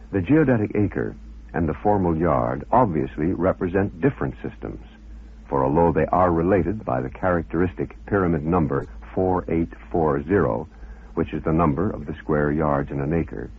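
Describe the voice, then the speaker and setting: Very deep-voiced man, tinny radio sound. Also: breathy edge. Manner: formal, measured, expository. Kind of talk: scripted narration, reading scholarly text. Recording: muffled phone-like old radio recording.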